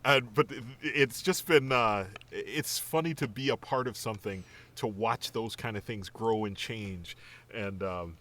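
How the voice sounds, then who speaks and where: A man's voice: lowish voice, measured pace, sharp tone. A man who is a podcast cast member, chatting casually and reminiscing. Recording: clear background noise.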